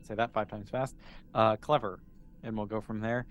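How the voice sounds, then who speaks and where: A man's voice: on the low side, fairly even intonation, moderate pace. A man acting as game master, narrating a tabletop roleplaying game in a casual, amused, improvised way. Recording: noticeable background noise.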